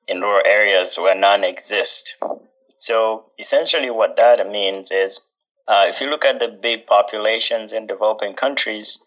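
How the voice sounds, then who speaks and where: A young man from Uganda, a guest in an interview, answering thoughtfully with many pauses and fillers. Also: deep voice, a bit bright, poor audio. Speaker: guest, social entrepreneur.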